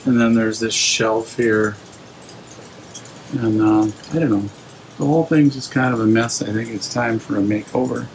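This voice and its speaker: A man's voice: fairly low pitch, steady tone, normal speed, a little hiss or hum, low-quality recording. A middle-aged man giving a casual, rambling walkthrough of his home fish room, hesitating with lots of fillers, filmed on a handheld phone indoors.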